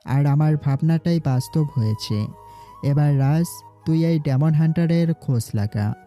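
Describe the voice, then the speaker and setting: A man playing a commanding, dark-voiced boss. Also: on the high side, unhurried, close to an everyday voice. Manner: commanding, gives orders firmly. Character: commanding young male city boss. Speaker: voice actor. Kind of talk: Bengali audio drama acting. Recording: faint background music.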